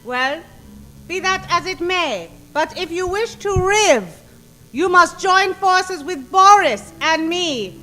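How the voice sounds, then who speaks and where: Radio comedy villainess , female actor: scheming, theatrical temptress, piercing bright tone, unhurried delivery. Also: very high-pitched, expressive, heavily acted. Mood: seductive menace. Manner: theatrical, sinister, deliberate. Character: scheming seductive villainous dragon-lady ex-wife. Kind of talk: old-time radio comedy acting.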